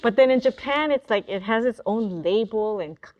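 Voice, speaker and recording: A middle-aged woman, an author, leading a relaxed talk story session in a library classroom, chatty and quick to laugh. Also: a little high, lo-fi sound. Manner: chatty, laughs often, restarts sentences. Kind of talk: casual group discussion.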